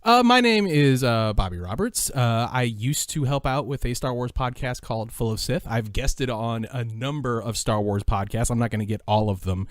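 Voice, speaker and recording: Animated, talkative male podcast guest. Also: medium pitch, moderate pace, few pauses, no echo, studio quality. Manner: talkative, self-mocking, theatrical phrasing. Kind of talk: casual chat.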